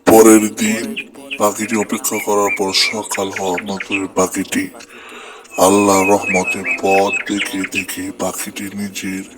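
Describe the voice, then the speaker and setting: Deep-voiced, very monotone male storyteller. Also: measured pace, very noisy. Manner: addresses small children, simple repetitive phrasing. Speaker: storyteller, narrator. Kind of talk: children's story narration.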